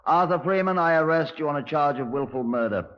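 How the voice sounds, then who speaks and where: An actor in a 1950s radio drama playing a dry, stern Scotland Yard inspector, formal, terse and deadpan. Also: male voice, fairly high pitch, brisk, lightly acted. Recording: dull lo-fi 1950s radio recording.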